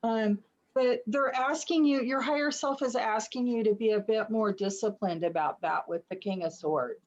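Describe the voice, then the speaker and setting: A woman's voice: a little high, normal speed. A woman giving a casual, conversational reading as a tarot reader over a video call, reassuring and encouraging. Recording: slightly muffled video call audio.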